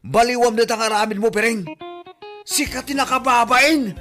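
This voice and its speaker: A woman's voice: on the high side, moderate pace, lightly acted. Taunting Ilocano busybody played by a woman, music behind. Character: mocking, scolding village busybody. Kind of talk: Ilocano comedy drama acting.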